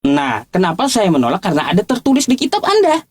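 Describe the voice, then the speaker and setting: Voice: mid-range voice, huge pitch swings, quick pace, slight background noise. A debater, speaking informally and insistently, challenging and repeating points in a religious argument. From Indonesia.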